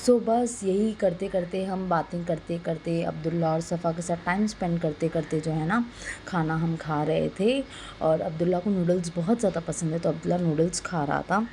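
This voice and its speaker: A young adult woman with a sore, slightly hoarse throat, chattily narrating a casual family vlog voice-over and mixing in English words. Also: fairly low pitch, moderate pace, mellow, slight background noise. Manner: conversational, mixes in English words. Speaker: vlogger narrating a family outing.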